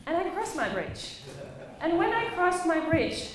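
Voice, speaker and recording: A woman from the Netherlands with a Dutch accent, telling personal stories as a conference speaker, expressively and with dramatic pauses. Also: very high voice, very animated. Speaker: conference speaker, play facilitator. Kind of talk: personal storytelling talk to a live audience. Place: echoey indoor conference room. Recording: very echoey room, faint background noise.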